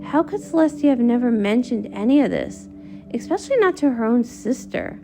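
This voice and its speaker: A studious young female pony voiced by a woman, eager and earnest. She explains in an excitable, high-pitched voice with animated swings, over a soft music bed in a comic read-along. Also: brisk, vocal fry. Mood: astonished. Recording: music under the voice.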